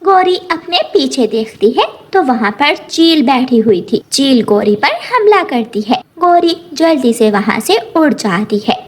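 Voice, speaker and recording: A woman with a high-pitched voice narrates a children's story. She reads scripted lines as the storyteller. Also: sing-song, unhurried, few pauses.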